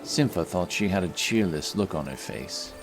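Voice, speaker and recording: A man's voice: low-pitched, expressive, moderate pace, music in the background. A man reading scripted narration for a fantasy story as its narrator.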